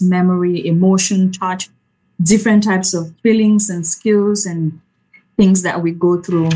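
A soft-spoken woman from Cameroon, a researcher giving an informal talk over a video call. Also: lowish voice, low-quality recording. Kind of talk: informal presentation.

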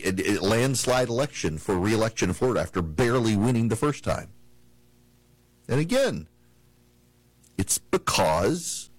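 A man's voice: on the low side, no room sound, poor audio. Southern male talk radio host, dry studio. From American South. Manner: rambling, many restarts and fillers. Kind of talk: conversational political talk radio monologue.

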